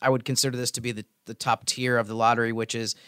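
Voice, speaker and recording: A man's voice: medium pitch, flat delivery, quick pace, fairly bright tone, clear, resonant tone, no room sound. Man co-hosting sports talk, close dry sound. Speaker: sports podcast co-host.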